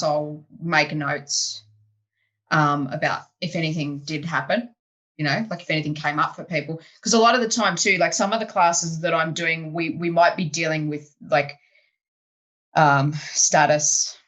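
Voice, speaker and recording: A woman hosting an improv podcast, talking casually over a video call, chatty and animated, full of "you know" and "like". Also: low-pitched, normal speed, rough recording. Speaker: podcast host and improv teacher. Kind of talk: casual interview chat.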